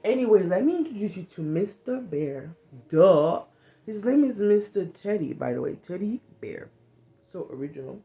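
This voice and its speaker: Frank, animated woman from Cameroon. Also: mid-range voice, normal speed. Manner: frank and animated, swearing freely, acts out little quoted lines. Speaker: vlogger. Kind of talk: casual talk to camera on trauma healing. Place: small bedroom indoors. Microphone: phone camera at arm's length.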